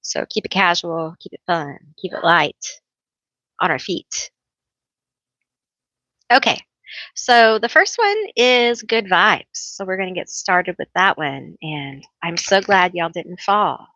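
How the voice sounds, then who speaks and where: A woman reading tarot on a relaxed live stream, with a bright, forceful voice and an unhurried pace. She chats loosely, laughs a lot and pokes fun at herself. The sound is dry and a little dull, like lo-fi stream audio. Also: on the low side, dry recording. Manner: chatty, laughs often, self-teasing; calls herself loud, a yeller. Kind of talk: casual live-stream chat.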